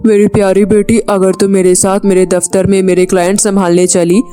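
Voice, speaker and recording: A woman plays an affectionate middle-aged father in a children's moral tale. The voice is mellow and gently coaxing. Soft music sits behind it. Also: mid-range voice, little pitch movement, brisk, lightly acted. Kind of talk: children's moral story character acting.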